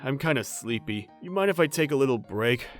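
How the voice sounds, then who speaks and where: A man voicing a selfish, callous young husband in an animated story, talking in an offhand, dismissive way over soft music. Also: medium pitch, a little fast, close to an everyday voice. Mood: falsely friendly.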